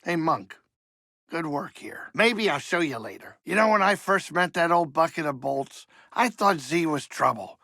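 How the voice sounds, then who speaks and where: A man voicing a grumbling but warm-hearted older alien pilot and saloon keeper in a video game, with a gravelly, blustery, wisecracking delivery. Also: a little high, animated, moderate pace, no echo, exaggerated voice. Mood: bossy, animated. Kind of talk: expressive video game character acting.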